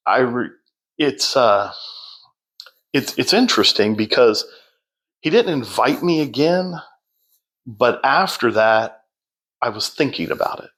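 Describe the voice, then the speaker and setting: A man telling his story as a podcast guest in a loud, projecting voice, unhurried, with many fillers and restarts. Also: medium pitch, lively intonation. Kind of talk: casual storytelling.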